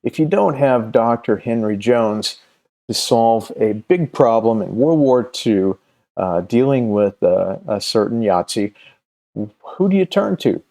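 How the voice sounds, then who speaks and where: A man in his fifties giving a casual, promotional vlog talk as a creator, halting and often searching for words. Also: mid-range voice, lively intonation, gravelly, mellow. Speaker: comic creator and channel host. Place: small room at home, indoors.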